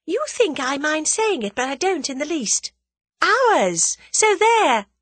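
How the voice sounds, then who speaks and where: A woman's voice: high voice, sing-song, moderate pace, fairly bright tone, no room sound, pitched above the performer's own voice. A woman voicing a spirited, teasing young woman in love in an audiobook, playful and eager. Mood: dreamy, bold. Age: young adult. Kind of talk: audiobook character dialogue.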